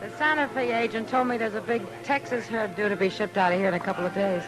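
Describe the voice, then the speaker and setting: A woman's voice: medium pitch, fairly even intonation, fast, close to an everyday voice, poor audio. An actress playing an easygoing, wry saloon woman with an American accent in a 1950s Western radio drama. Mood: wry, friendly. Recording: old radio drama with music.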